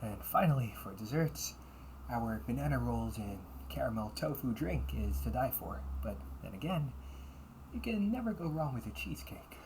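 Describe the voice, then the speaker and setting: Performer acting a delinquent older brother as cafe butler, medium pitch, formal politeness, grudging edge, amateur audio roleplay, slight background noise. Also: sing-song, moderate pace, darkish tone, low-quality recording. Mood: courteous, restrained. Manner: stiffly formal service politeness, slipping into muttered threats.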